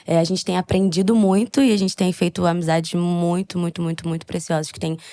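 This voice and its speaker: A woman from Rio de Janeiro with a Brazilian accent, a podcast guest speaking calmly. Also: on the low side, fairly quick. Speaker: guest, worship musician. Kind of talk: casual chat.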